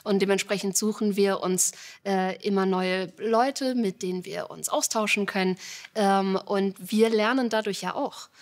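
Woman explaining her project, thinks as she talks, normal pitch. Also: fairly even intonation, unhurried. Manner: thoughtful, with restarts. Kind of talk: casual explanation.

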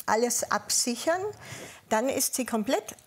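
A woman's voice: fairly high pitch, lively intonation, measured pace. A woman from southern Germany telling personal recollections as an interview guest, candid and sometimes searching for her words. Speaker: interview guest, former police officer.

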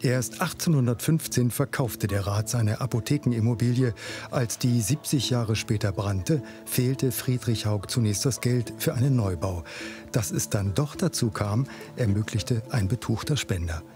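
A man's voice: mid-range voice, normal speed. Male TV narrator over music. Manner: even, informative delivery. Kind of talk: scripted TV narration.

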